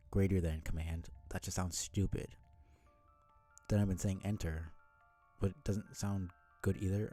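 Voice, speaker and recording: A man's voice: sits low, dark tone. A man giving casual, rambling live-stream commentary in a blunt, sweary way. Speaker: streamer reading a webcomic aloud.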